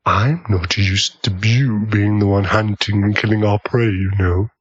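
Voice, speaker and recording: A man's voice: on the low side, steady tone, a little slow, slightly rough, muffled recording. A man playing a smug, possessive young vampire in an ASMR boyfriend roleplay, teasing and coolly menacing. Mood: teasing, affectionate. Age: young adult. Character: smug, possessive young adult male vampire, doting and predatory.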